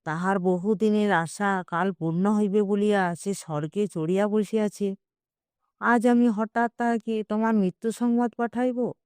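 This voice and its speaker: Woman voicing an unhurried, wry old matchmaking gentleman. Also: mid-range voice, steady tone, clear, resonant tone, no room sound, clean studio sound, close to an everyday voice. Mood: alarmed, protesting. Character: old Bengali gentleman, persuasive, wryly self-justifying matchmaker.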